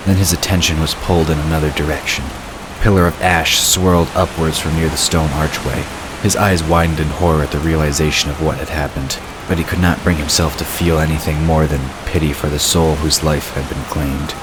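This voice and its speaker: A man narrating a fantasy story from a script in a measured, dramatic storytelling manner. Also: extremely low pitch, little pitch movement, noisy background.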